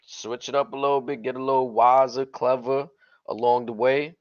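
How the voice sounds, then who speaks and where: Guy with a hoarse raspy voice chatting wrestling on a stream, slangy and chill, kinda muffled dry audio. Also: medium pitch, moderate pace, dry recording. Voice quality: slightly raspy. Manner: relaxed slangy banter, laughs easily. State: hoarse from yelling. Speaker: wrestling show co-host. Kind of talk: casual livestream chat.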